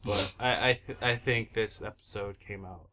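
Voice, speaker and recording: A young man in casual commentary as a series creator, talking haltingly and conversationally, sometimes trailing off. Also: on the low side, fairly even intonation, sharp tone, a little hiss or hum. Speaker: web parody series creator on a commentary track.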